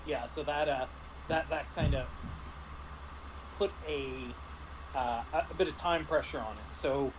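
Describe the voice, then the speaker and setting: A man casually recounting tabletop game sessions as game master, speaking haltingly and often clearing his throat. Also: fairly high pitch. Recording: clearly noisy background.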